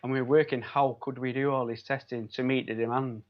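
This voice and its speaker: A man's voice: mid-range voice, flat delivery, a little fast, dull audio. A man with a Manchester accent, a guest speaking earnestly on a video call with many ums and restarts. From Manchester, England. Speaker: guest, biomedical scientist. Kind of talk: casual interview talk.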